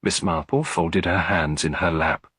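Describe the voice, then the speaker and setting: A man's voice: deep voice, steady tone, unhurried, a bit bright, breathy edge, dry recording. A man reading a scripted detective story as an audiobook narrator.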